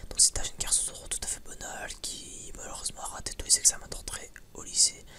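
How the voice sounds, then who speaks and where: A man's voice: very high voice, completely flat delivery, a little fast. A man whispering a casual, rambling ASMR chat, informal and sweary, hesitant and often stammering over repeated words. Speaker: ASMR video creator.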